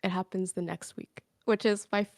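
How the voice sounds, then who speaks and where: A woman's voice: medium pitch, steady tone, fairly quick, no room sound, clean studio sound. A woman guest on a casual podcast, chatting and laughing often between phrases.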